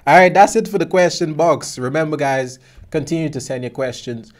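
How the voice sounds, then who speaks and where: A man's voice: fairly high pitch, a little fast. Young Caribbean man hosting with a laugh. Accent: Caribbean English, mixed Guyanese and Bahamian. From Guyana. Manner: joking, laughs often, easygoing. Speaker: podcast host. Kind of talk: casual interview chat. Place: small studio with desk. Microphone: studio mic on stand.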